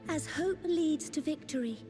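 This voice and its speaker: A woman's voice: high-pitched, moderate pace, natural voice. A woman playing a gracious, resolute young sovereign in a fantasy video game, speaking in a formal, courtly way. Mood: hopeful, resolute. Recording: long reverb, game music underneath.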